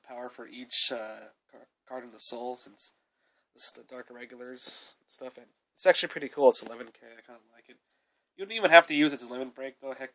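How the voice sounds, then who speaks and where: A man's voice: medium pitch, fairly bright tone, poor audio. A young man with a cold, giving a rambling, casual review of his card deck and filling his talk with "though" and "uh". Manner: rambling, repeated fillers like "though" and "uh". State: sick with a cold, coughing.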